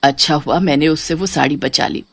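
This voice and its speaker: A woman voicing a stingy, scheming mother-in-law who speaks curtly and dismissively, in a lowered, quieter voice. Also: fairly quick, no echo. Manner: curt, dismissive.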